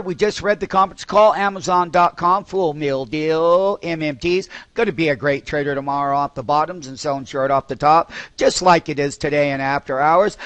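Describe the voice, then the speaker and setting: High-pitched man hosting a trading call. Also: a bit bright, dry recording. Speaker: host of a live stock trading call. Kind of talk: live trading commentary.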